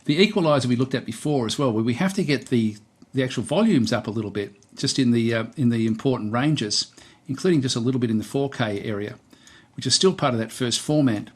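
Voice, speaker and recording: A man giving a casual, unscripted software tutorial, hesitant and thinking aloud as he presents. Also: mid-range voice, fast.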